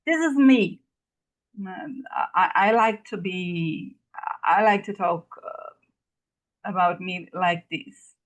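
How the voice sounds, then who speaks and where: A woman's voice: lowish voice, slow, long pauses. A woman from Brazil, a native Portuguese speaker with a Brazilian Portuguese accent, giving a casual online class presentation as a guest lecturer. She speaks hesitantly and apologetically, with many fillers and restarts. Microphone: video call.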